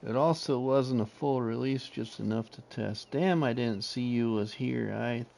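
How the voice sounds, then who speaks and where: Laid-back guy talking slow on his game stream, kinda rambly, lots of ums. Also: mid-range voice, creaky. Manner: slow, relaxed, rambling with fillers. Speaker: livestream host. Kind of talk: casual livestream chat.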